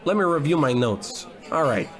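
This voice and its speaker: A man's voice: fairly high pitch, lively intonation, moderate pace, a crowd in the background, natural voice. A man playing a hapless, hard-drinking, cocky private detective in a comedic old-time radio noir drama, given to wisecracks and melodramatic monologue. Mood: stubborn, brash. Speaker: narrator and lead actor.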